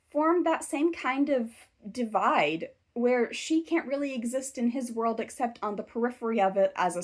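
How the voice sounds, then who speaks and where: A woman hosting a scripted commentary video, talking in a measured, analytical way. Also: a little high. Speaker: video essay host.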